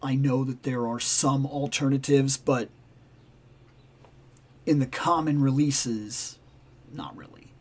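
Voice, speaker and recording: A man hosting a toy livestream in casual, rambling chat, with a voice going raspy and hoarse. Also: mid-range voice, slow, pauses often. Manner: rambling, animated, self-interrupting. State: raspy, losing his voice. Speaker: toy channel livestream host.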